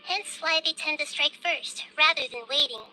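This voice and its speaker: A woman voicing a gentle, wise, childlike young girl deity in a video game, soft-spoken, measured and thoughtful. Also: sits high, faint music behind, rough recording. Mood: calm, compassionate. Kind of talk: video game character acting.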